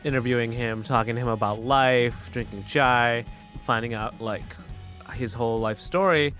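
A man's voice: medium pitch, steady tone, normal speed, fairly bright tone, soft background music. A young man hosting a podcast in a studio, chatting casually, laughing often and stumbling over words. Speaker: podcast host. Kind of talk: casual conversational interview.

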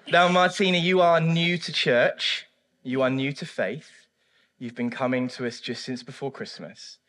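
A man preaching a sermon and interviewing a church member on stage, emphatic and swinging between hushed and loud. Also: mid-range voice, a little slow, long pauses. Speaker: pastor. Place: church service.

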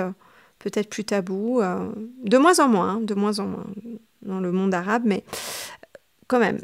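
A woman novelist from Tunisia answering interview questions thoughtfully, often pausing to search for her words. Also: medium pitch. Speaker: novelist guest.

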